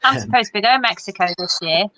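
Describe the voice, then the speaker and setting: Bright-voiced Nottingham woman. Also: mid-range voice, racing, creaky, dry recording, poor audio. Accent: East Midlands English. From Nottingham, England. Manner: chimes in briefly, laughs easily. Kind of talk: casual chat.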